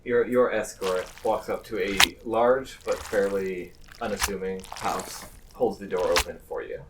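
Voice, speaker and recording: Male game master, low pitch, improvised tabletop narration, slightly distant mic with faint noise. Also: fairly even intonation, a little slow.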